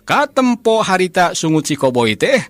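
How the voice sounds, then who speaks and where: A man with a Sundanese accent telling a comic folk tale in a lively, chuckling way, speaking straight to his listeners. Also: sits high, moderate pace, no room sound. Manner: chuckles often while narrating, addresses listeners. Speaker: storyteller. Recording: noisy, hissy recording.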